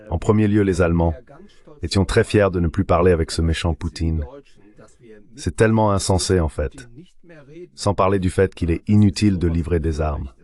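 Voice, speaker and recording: A man's voice: low voice, a little mellow. A man speaking as an expert guest, giving critical, opinionated answers in a dubbed political interview.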